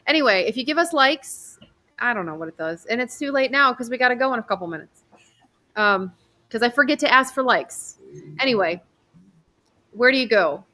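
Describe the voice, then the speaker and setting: A woman doctor from New York state hosts a loose, chatty livestream, talking in a slightly high, bright voice. She rambles from one point to the next, swears casually and gets excited easily. She is heard over a video call. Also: normal speed, long pauses. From New York state, USA. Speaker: physician hosting a telehealth livestream.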